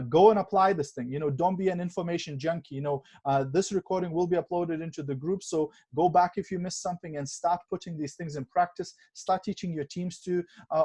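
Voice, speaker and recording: A man from Lithuania hosting a video call training, talking in a relaxed, conversational way with many fillers. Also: fairly high pitch, fairly quick, few pauses, slightly rough. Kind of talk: casual coaching on a video call.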